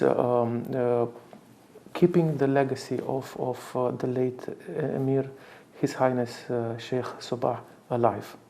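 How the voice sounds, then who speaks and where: Halting, mellow-voiced man from Romania. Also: medium pitch, vocal fry. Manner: halting, hesitant, formal, repeats words. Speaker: diplomat, interviewee. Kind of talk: spoken tribute in an interview.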